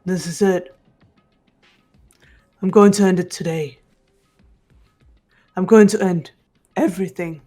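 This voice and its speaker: A woman putting on a quiet, grim young fighter. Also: on the low side, fairly even intonation, takes it slowly, pauses often, soft background music.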